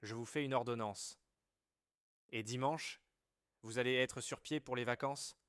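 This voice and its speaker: A man reading everyday French dialogues for learners, with clear, measured scripted delivery. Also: mid-range voice, steady tone, fairly bright tone, no room sound, clean studio sound, lightly acted.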